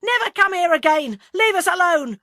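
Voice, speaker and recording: Woman acting an explosive middle-aged husband, forceful. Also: very high-pitched, lively intonation, moderate pace, no echo, clean studio sound, voice pushed up, exaggerated voice. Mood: enraged. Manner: blunt, hissing and shouting. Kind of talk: audiobook character acting.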